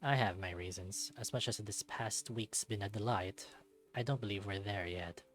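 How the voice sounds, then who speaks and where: Suave male raccoon played by a man, visual novel: quiet, low, measured delivery, teasing but guarded, music underneath. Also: natural voice. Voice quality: low, soft-spoken.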